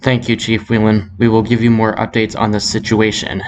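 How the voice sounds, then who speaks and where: A man's voice: fairly low pitch, completely flat delivery, moderate pace, a little hiss or hum, close to an everyday voice. A man playing a news anchor, reading a scripted amateur disaster bulletin in a formal way. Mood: serious. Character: male breaking news anchor reporting a disaster. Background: music.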